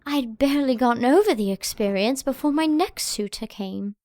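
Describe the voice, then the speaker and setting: A woman playing a sweet, excitable young Victorian lady who speaks politely and effusively in an audio drama. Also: high voice, moderate pace. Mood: wistful, dramatic. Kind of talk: audio drama letter narration and dialogue.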